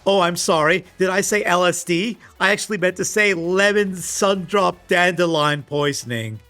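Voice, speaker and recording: Male video essayist, higher-pitched, wry and exasperated, casual scripted comedy commentary with faint music underneath. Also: moderate pace, pushed and bright. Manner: sarcastic, exasperated; casual, swearing. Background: background music.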